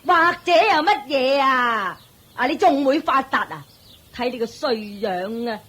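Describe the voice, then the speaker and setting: An actress playing a scornful, bossy middle-aged mother-in-law in a radio drama, with a Hong Kong Cantonese accent and a sharp, sneering delivery. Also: high-pitched, expressive, measured pace, full character voice. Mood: scornful, domineering.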